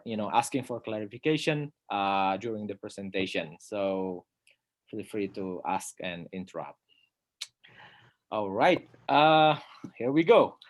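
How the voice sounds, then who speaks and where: A man in his thirties from North Sumatra with an Indonesian accent, giving a relaxed slide presentation as a pastor, pausing often on "uh" and laughing softly. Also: lowish voice. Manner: hesitant, many "uh" fillers, soft laughs. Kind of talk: informal lecture with slides.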